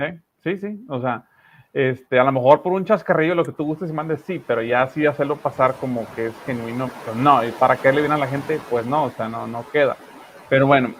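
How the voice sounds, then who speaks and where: A man's voice: medium pitch, a little hiss or hum. A man from Monterrey with a marked northern Mexican accent, co-hosting political news commentary in an emphatic, rambling way. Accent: Monterrey Mexican Spanish. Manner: animated, emphatic, talks in long run-on asides.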